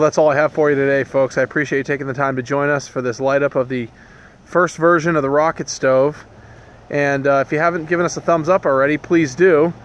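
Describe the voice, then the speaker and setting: Casual male vlog host, thirties, mid-pitched, loose and rambling delivery, handheld camera sound with faint outdoor hiss. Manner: relaxed, rambling, with frequent ums and restarts. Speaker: homesteading channel host. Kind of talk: casual hands-on vlog narration. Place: outdoors beside a pickup truck in snow, later a workshop.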